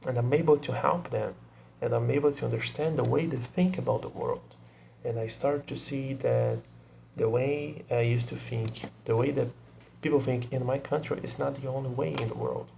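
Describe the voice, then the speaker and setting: A young Brazilian man, native Portuguese speaker, talking casually to camera in English with a Brazilian accent, often pausing to search for words and adding "you know". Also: medium pitch, fairly even intonation, vocal fry, mellow, a little hiss or hum. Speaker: video creator.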